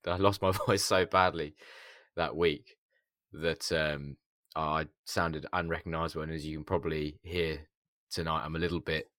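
A man with a hoarse, gravelly voice, co-hosting a podcast in casual chat, laughing a lot and stumbling over his words. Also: very deep voice, steady tone, normal speed, fairly bright tone, no room sound, clean studio sound. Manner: laughs often, stammering restarts. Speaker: podcast co-host.

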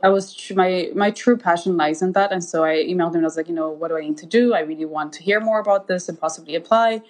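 A woman's voice: fairly low pitch, fast, a little mellow, poor audio. A woman from Ethiopia, in her thirties, a guest recounting her path in a casual interview, talking with many fillers and restarts. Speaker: guest, anesthesiologist.

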